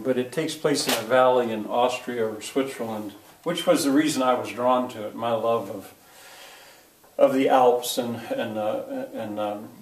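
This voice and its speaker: An older man teaches a history class, stopping and restarting with lots of ums and uhs as he works through his notes. His voice comes from a distance in a roomy space with a clear echo. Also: medium pitch, darkish tone. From United States. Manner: halting, full of fillers and restarts. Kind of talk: lecture to a class. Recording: echoey room, voice from far off.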